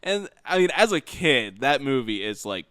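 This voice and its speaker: A young man co-hosting a podcast, chatting casually about games in an excitable, slangy way. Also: medium pitch, moderate pace, clean tone, dry recording, clean studio sound. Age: young adult. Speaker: podcast co-host. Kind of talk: casual gaming chat.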